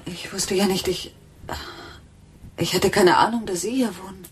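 An actress playing an anxious, probing wife in a German radio crime drama, with a husky, insistent voice. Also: female voice, medium pitch, normal speed, slight background noise, close to an everyday voice. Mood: flustered, subdued.

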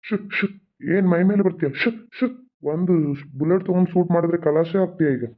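A man's voice: sits high, a little fast. A man voicing a scheming adult male village villain who mocks and threatens. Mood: mocking. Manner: sneering, mocking, menacing. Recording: tinny phone-like sound.

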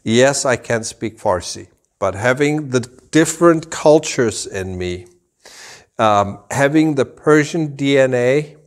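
A man in his forties who grew up in Germany talks to the camera from a rug showroom. He speaks slowly and deliberately at an ordinary pitch, gesturing as he explains. The camera sits a few meters away. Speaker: rug dealer and channel host. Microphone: camera at a few meters, full body framing.

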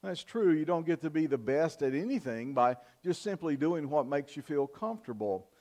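Older guy pastor, steady earnest sermon, slows down to hit his points. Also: on the high side. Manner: measured, earnest preaching with emphatic pauses. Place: church sanctuary, at the pulpit. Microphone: fixed camera from the back of the church.

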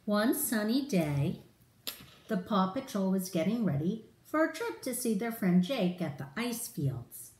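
Animated woman reading to children, roomy sound. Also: medium pitch, a little slow, slight background noise. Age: middle-aged. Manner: animated, addressing children directly. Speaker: storyteller for children. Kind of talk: expressive read-aloud of a picture book to children. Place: room in a home, indoors.

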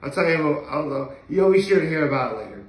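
A man's voice: fairly high pitch, expressive, fast talker. A young adult man chatting casually to camera at home in a gushing way, repeating phrases for emphasis. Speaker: video blogger. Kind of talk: casual solo chat to camera. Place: living room at home, indoors. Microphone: camera or phone a few feet away. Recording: echoey living room.

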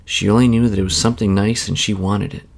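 A man reads a children's bedtime story aloud. His voice is creaky, and his delivery is measured and expressive. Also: lowish voice.

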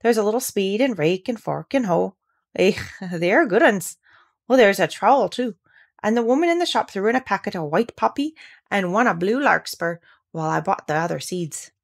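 A woman voicing a cheerful country boy with a Yorkshire accent, talking quickly and easily with good humour. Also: mid-range voice, expressive, creaky. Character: cheerful country boy, easygoing, friendly with animals.

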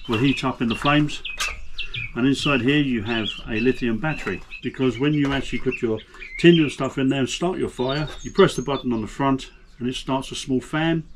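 A man's voice: medium pitch, noisy background. A middle-aged man chatting casually to camera outdoors in a garden while showing camping stoves by hand. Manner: chatty, plain-spoken, demonstrating stoves by hand. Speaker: camping vlogger. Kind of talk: casual gear demonstration to camera. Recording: outdoor birdsong in background. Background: birdsong.

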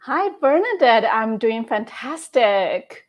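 A woman in her thirties from China with a Chinese accent, a coach speaking as a guest in a measured, friendly way with soft laughs, on earbuds over a video call. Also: on the high side, very animated. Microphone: wired earbud headset on a video call.

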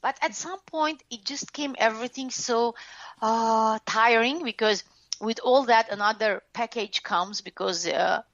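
Lebanese woman guest, reflective and frank, gravelly edge, dry dull sound. Casual interview storytelling. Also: on the high side, a little slow, a bit bright, no echo. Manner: frank, reflective, lots of fillers and restarts. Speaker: guest, author and former TV host.

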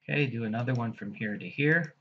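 A man teaching software in a casual screen-recorded tutorial, thinking aloud as he clicks through each step. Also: medium pitch, brisk, muffled recording, slight background noise. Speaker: software instructor.

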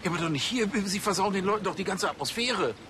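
High-pitched, fussy police chief. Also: male voice, lively intonation, fast talker, bright, forceful tone, slight background noise. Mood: indignant. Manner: indignant, officious. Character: fussy, image-conscious male police chief scolding his officers.

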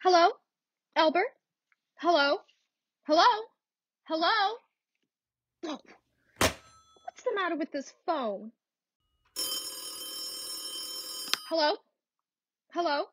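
Woman acting high-pitched frantic, demanding bedridden wife. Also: lively intonation, slow pace, long pauses, a bit bright, muffled recording, heavily acted. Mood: frantic, distressed. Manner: imperious, fretful, dramatic. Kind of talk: old radio thriller acting.

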